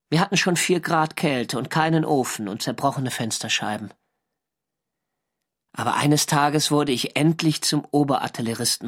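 A measured wartime sailor diarist. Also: male voice, fairly high pitch, a bit bright. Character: young navy sailor narrating his wartime diary, earnest and wry. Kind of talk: scripted first-person radio drama narration.

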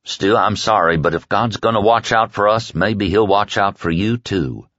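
A man's voice: fairly low pitch, moderate pace, a bit bright, no echo, lo-fi sound. A man voicing a simple, devout country man with an Oklahoma accent in an audiobook, plain and earnest. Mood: apologetic.

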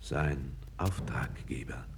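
An actor playing a calm, candid foreign court councillor, speaking with measured, deferential gravity in a 1960s radio play. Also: male voice, extremely low pitch, background noise, full character voice. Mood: reflective, pointed. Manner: measured, grave, deferential yet frank.

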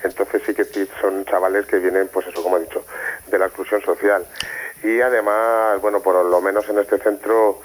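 A Spanish man speaks by telephone as an interview guest. His voice is low with little pitch movement, and he explains things at length in conversational testimony. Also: little pitch movement, moderate pace, poor audio. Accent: Spain. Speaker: interview guest, former youth care educator. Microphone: telephone call.

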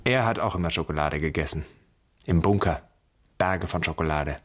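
Radio drama, bitter young man's brooding thoughts; fragmented, intense delivery, husky tone, heavy reverb. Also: low-pitched, normal speed, a bit bright. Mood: haunted, remembering. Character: brooding inner voice of a young man, bitter, haunted. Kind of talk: poetic radio drama monologue. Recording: long reverberant hall.

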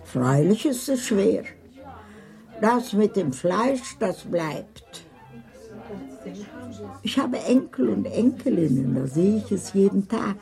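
Old grandmother, radio drama: gravelly, swooping delivery, frank and knowing, sententious, music underneath. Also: medium pitch, big pitch swings, slow pace, pauses often, warm tone, full character voice. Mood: sententious, confiding. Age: older. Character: old grandmother, worldly, frank, pious counsellor to young wives. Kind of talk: German literary radio drama acting.

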